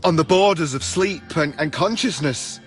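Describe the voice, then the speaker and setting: A man's voice: fairly high pitch, moderate pace, faint music behind, natural voice. An actor playing an uneasy man in a car hearing voices, faltering and stammering, in a radio drama. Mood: frightened.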